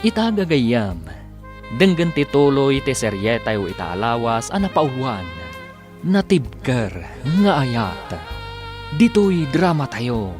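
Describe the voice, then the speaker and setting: Animated, unhurried male radio serial announcer. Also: mid-range voice, over music. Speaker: announcer and narrator. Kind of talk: dramatic radio serial narration.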